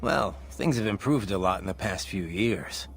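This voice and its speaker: An actor playing a brooding, cynical cyborg mercenary in a video game, gruff and terse. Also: male voice, low voice, lively intonation, moderate pace, slight background noise. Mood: wry, reflective. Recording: music behind.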